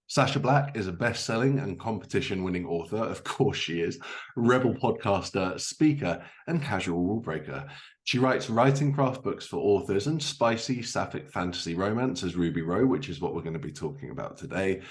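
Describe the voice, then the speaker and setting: A man's voice: sits low, lively intonation, moderate pace. A man hosting a podcast, delivering scripted introductions and interview questions. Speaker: podcast host.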